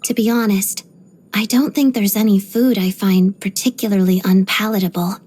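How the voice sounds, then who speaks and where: A young woman ballerina from a video game, voiced by an actress, with a soft, breathy voice that often thins toward a whisper. She speaks in a composed, measured way, polite but guarded. Beneath the discipline sits a proud, quietly haunted temper. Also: mid-range voice, steady tone, natural voice. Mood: calm, musing. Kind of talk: video game character voice lines.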